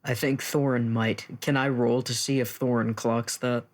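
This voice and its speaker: A man playing a tabletop roleplaying game, narrating casually in a measured, explanatory way. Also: medium pitch, level tone.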